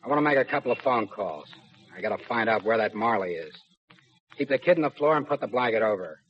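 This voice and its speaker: Actor as a low-voiced, curt kidnapper. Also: male voice, animated, quick pace, close to an everyday voice. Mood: curt, tense. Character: curt, menacing male kidnapper on the run. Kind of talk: 1950s radio crime drama acting. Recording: muffled old radio recording, background hiss.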